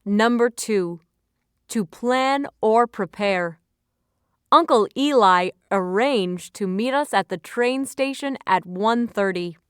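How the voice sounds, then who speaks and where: Woman, educational read-aloud, careful enunciation, crisp voice, dry studio-like sound. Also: fairly high pitch, slow, no room sound. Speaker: vocabulary lesson narrator. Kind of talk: scripted educational read-aloud, dictionary entries and example sentences.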